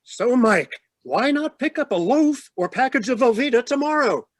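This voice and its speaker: A man announces and pitches in scripted vintage radio style. His voice is notably high, and it sounds dry with no room around it. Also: animated, moderate pace, no echo.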